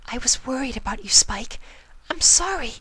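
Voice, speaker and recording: A storyteller voicing a shy, gentle, anxious female pegasus pony with a soft, whispery, hesitant voice. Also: mid-range voice, animated, moderate pace, a little hiss or hum, voice pushed up. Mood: worried, tearful. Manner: hesitant, timid.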